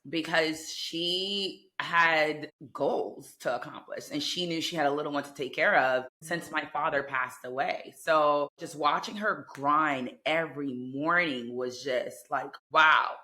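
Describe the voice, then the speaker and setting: A young woman talks about her life as a podcast guest. Her voice is bright and forceful, and she speaks enthusiastically, rushing through some phrases. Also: deep voice. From United States. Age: young adult. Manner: warm, enthusiastic, quick bursts of speech. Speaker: guest, real estate entrepreneur. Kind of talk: casual interview chat.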